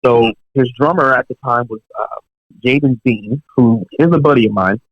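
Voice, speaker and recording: A young man of mid-range pitch tells anecdotes from his music career in a loose, conversational way. He wanders through asides and fillers and chuckles now and then. The audio is dry and a little dull, like a home podcast setup. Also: moderate pace, dry recording. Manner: relaxed, chatty, many fillers and asides, chuckles while telling stories. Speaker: professional touring drummer, podcast guest. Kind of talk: casual storytelling in an interview.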